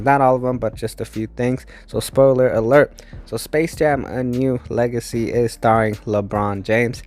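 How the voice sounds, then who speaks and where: A man hosting a movie review channel, sounding congested and tired as he recovers from losing his voice, speaking close into a microphone. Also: a little mellow. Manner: low-energy, tired. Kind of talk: casual spoken review. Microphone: speaking close into a mic, voice-over.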